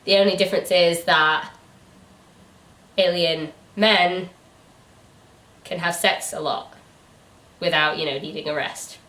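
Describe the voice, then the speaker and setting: A woman co-hosting a book review channel, chatting casually in an animated, incredulous way. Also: fairly low pitch, slow, long pauses, recorded from a distance. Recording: echoey room, away from the mic.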